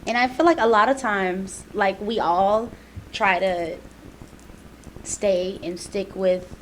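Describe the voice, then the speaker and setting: A young woman co-hosting a student podcast, talking in a casual, emphatic way with plenty of "like" fillers. Her pitch sits in a middle range. A faint noise floor runs under the recording. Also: takes it slowly, poor audio. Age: young adult. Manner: animated, emphatic, many "like" fillers. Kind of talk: casual chat.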